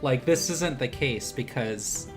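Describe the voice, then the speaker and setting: A man's voice: mid-range voice, slow pace. Young male streamer and art tutor, casual and encouraging, music playing behind him. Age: young adult. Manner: encouraging, informal. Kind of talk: casual live art critique with voice chat. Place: indoor home desk setup.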